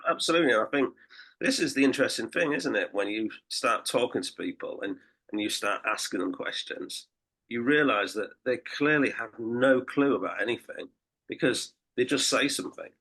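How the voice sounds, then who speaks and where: A man co-hosting a podcast in casual chat, croaky from a late night, rambling with restarts and fillers. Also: medium pitch, lively intonation, moderate pace, fairly bright tone, poor audio. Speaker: podcast co-host and political campaigner.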